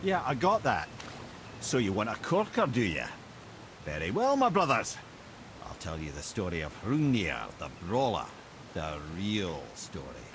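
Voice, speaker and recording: An actor playing a wry, learned old Scottish storyteller in a video game, telling tales theatrically. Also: male voice, fairly low pitch, wildly swooping pitch, a little slow, slight background noise. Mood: animated, wry.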